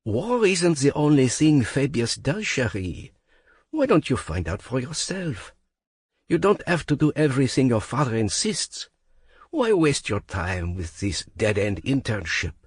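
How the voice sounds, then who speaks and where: A man voicing a smug, drunk French billionaire with a thick, smooth, slurring French accent. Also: mid-range voice, huge pitch swings, unhurried, no room sound, clean studio sound, voice pushed up, exaggerated voice. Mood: suave.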